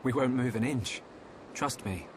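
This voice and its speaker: An actor playing an earnest, trusting young hero in a video game, with a sincere, gentle manner. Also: male voice, mid-range voice, measured pace, slight background noise, lightly acted. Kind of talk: video game cutscene acting.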